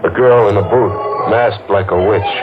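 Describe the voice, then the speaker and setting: Jittery guilty fugitive, played by a man, low voice, hard-boiled radio noir delivery, music behind, dull vintage sound. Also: moderate pace, lightly acted. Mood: haunted. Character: guilty, haunted man on the run, jittery, evasive. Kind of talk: 1940s radio noir acting and narration.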